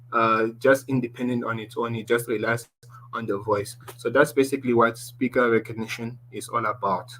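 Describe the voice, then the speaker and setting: Male presenter with a deep voice, mid pitch, teaching a tech class over a faint hum, restarts often. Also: fairly bright tone, poor audio. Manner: explaining slides, restarts often, ends points with "basically". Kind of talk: online tech lesson.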